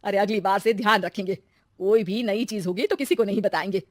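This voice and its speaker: A woman's voice: medium pitch, steady tone, brisk, no room sound, natural voice. Young husband for a family story, woman performer: blunt, earnest, short-fused, emphatic, dry close sound. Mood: dejected. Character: young husband and son, earnest, easily exasperated. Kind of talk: acted story dialogue.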